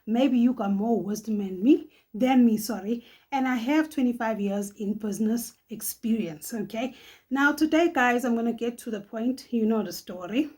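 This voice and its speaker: Husky south african lady, middle-aged, chatty vlog host, laughs a lot, bit high voice. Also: normal speed, darkish tone. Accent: South African, Johannesburg. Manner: chatty, laughs often, tags lines with "okay?". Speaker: channel host. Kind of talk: casual vlog advice.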